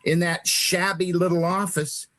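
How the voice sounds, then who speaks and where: Man acting a gentle, weary father, lightly pitched. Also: level tone, measured pace. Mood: earnest. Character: George's gentle, weary, earnest small-town father. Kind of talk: old-time radio play acting.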